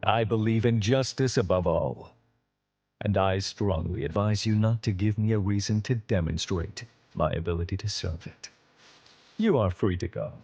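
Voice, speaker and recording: An actor playing a stern, honorable veteran alien admiral in a video game, speaking in a formal, measured, authoritative way. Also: male voice, lowish voice, darkish tone, slightly rough. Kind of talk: video game cutscene acting.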